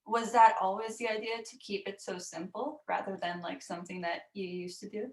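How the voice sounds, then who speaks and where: A woman's voice: medium pitch, fairly even intonation, fairly quick. A woman hosting a casual interview, asking her questions in a conversational way. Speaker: interviewer and host.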